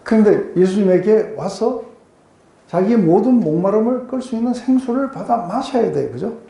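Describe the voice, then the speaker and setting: A weeknight Bible study in an echoey room, where a soft-edged, very dark-toned man explains a passage and keeps checking that everyone follows. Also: high-pitched, lively intonation, normal speed, vocal fry. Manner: explanatory, often checks in with listeners. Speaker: pastor teaching a Bible study group. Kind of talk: conversational expository sermon with rhetorical questions. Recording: roomy echo.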